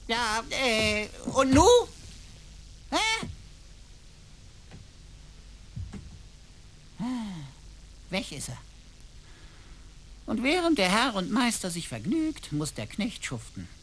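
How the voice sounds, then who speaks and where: An actor playing a flippant, theatrical computer servant with a mock-humble manner in a radio play. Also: male voice, sits high, wildly swooping pitch, slow, pauses often, fairly bright tone, a little hiss or hum, heavily acted, poor audio. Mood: grumbling. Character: cheeky, theatrical computer sidekick, put-upon servant.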